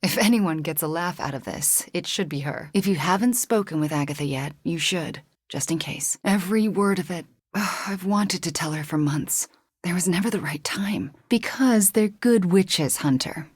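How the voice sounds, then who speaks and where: A woman playing a brooding, remorseful young sorceress superhero in a video game, speaking with a soft, breathy, earnest voice. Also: on the low side, moderate pace, no echo, clean studio sound. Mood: earnest, confiding. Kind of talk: dramatic video game character dialogue.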